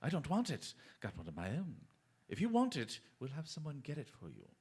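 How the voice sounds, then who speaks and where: A man's voice: fairly high pitch, wildly swooping pitch, fairly quick, a little mellow, breathy edge, full character voice. A man playing a shrewd, commanding detective in a radio drama, with a dryly ironic, clipped and theatrical manner. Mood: sharp, commanding. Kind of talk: stage-style radio drama acting.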